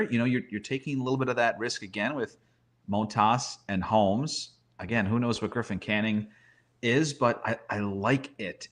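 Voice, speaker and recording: A man giving conversational sports commentary as a guest analyst, using rhetorical questions and emphatic repetition. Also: fairly low pitch.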